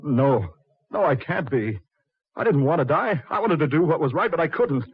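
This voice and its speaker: Desperate dead sinner in old 40s radio horror, begging and agitated, husky, dull muffled audio. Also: male voice, on the high side, lively intonation, moderate pace. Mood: desperate protest. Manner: insistent, repetitive, agitated. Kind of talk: 1940s radio horror acting. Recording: muffled 1940s radio recording.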